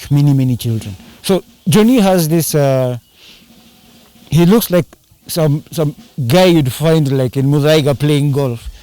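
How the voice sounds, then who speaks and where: A man's voice: a little high, unhurried, warm tone, no room sound. A man chatting casually in an interview, rambling and slipping into Swahili slang. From Kenya. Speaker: band singer and drummer.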